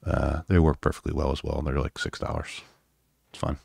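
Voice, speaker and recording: A man giving a casual, unscripted electronics demo in a halting, self-deprecating way with wry humor. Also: a bass voice, little pitch movement, gravelly, darkish tone, breathy edge, no room sound, studio quality. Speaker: electronics hobbyist presenter. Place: indoors at a home workbench.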